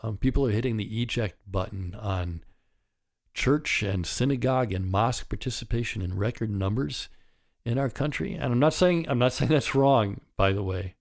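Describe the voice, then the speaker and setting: A man in his sixties with a raspy voice from a partly paralyzed vocal cord, talking candidly and wryly as host of a solo podcast. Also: mid-range voice, normal speed. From United States. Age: 60s. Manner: candid, wry and conversational, with chuckles. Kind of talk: reflective solo podcast monologue.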